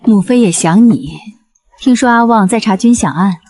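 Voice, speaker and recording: A woman playing a gentle, caring dowager consort and mother in a historical drama. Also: medium pitch, moderate pace, natural voice. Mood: tender concern. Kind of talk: dubbed historical drama acting.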